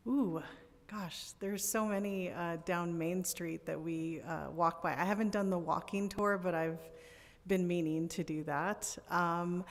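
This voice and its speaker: A woman's voice: lowish voice, fairly even intonation, a little slow. A woman applying for a volunteer board, answering interview questions hesitantly with many ums and restarts. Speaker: board applicant. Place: city council chamber. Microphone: podium mic. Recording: echoey council chamber.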